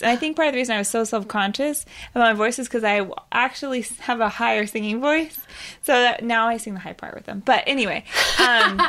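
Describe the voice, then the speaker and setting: A woman's voice: a little high, a bit bright. Chatty woman who laughs easily. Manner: chatty, laughs often, rambling. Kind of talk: casual chat.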